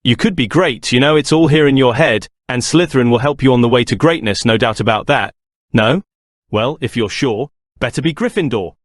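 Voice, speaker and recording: A man voicing an old magical talking hat that murmurs and muses in a low, hushed voice. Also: a little fast, no room sound, clean studio sound, natural voice. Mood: musing.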